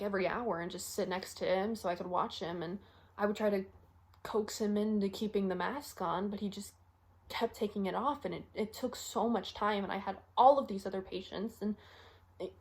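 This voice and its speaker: A woman playing a weary, frustrated young first-year medical intern in a halting, confiding, naturalistic audition monologue. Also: medium pitch, natural voice. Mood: exasperated.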